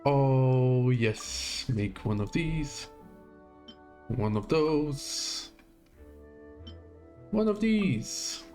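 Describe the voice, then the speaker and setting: A man's voice: mid-range voice, darkish tone. A man giving casual live gameplay commentary, muttering to himself in short fragments. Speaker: game livestreamer.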